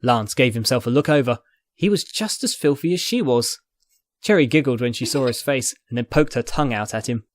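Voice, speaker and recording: A man's voice: medium pitch, expressive, brisk, no echo, studio quality. A man reading fiction aloud as narrator, in scripted dramatic storytelling style. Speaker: narrator, fan fiction reader.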